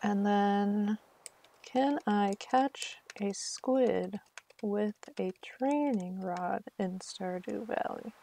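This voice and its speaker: A woman's voice: mid-range voice, extremely slow, gravelly, mellow. A woman chatting casually on a live game stream, thinking aloud in a self-deprecating way.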